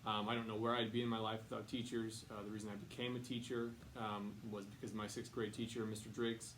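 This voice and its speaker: A man's voice: fairly low pitch, flat delivery, a little fast, fairly bright tone, a little hiss or hum, low-quality recording. American man, teacher reading to kids. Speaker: teacher and read-aloud host. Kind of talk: picture book read-aloud, informal introduction.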